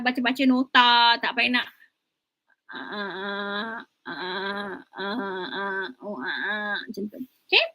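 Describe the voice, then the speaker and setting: A woman's voice: on the high side, bright, forceful tone. A young woman lecturer with a Malaysian accent, giving an online class on presentation skills in a chatty, teasing way, mixing English and Malay.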